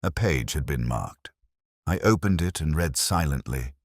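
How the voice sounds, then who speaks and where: Very deep-voiced man narrating detective fiction. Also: animated, no room sound, studio quality. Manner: literary, measured, melancholy. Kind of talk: scripted first-person detective story narration.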